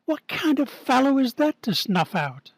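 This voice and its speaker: A man's voice: extremely high pitch, sing-song, a little slow, dry recording, full character voice. A man playing an earnest, desperate man pleading for his life in a staged play reading. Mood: pleading, indignant.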